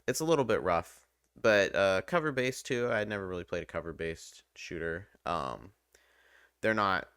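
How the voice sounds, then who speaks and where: A man's voice: low-pitched, fairly bright tone, no room sound, studio quality. A man giving casual, rambling game review commentary in a conversational, enthusiastic way. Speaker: gaming video host and reviewer.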